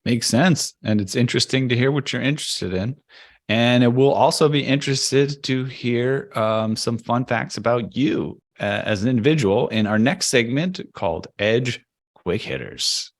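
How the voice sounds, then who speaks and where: A man's voice: mid-range voice, moderate pace. A man hosting an interview podcast, joking casually with the guest. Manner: playful, jokey. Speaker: podcast host. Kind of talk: casual chat.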